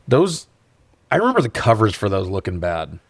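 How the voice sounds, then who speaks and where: A man with a fairly low voice chats casually as a podcast co-host reviewing films. He is joking and profane, often laughing through his words. The sound is close and dry, with very little room to it. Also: huge pitch swings, normal speed, dry recording. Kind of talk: casual comedic film review chat.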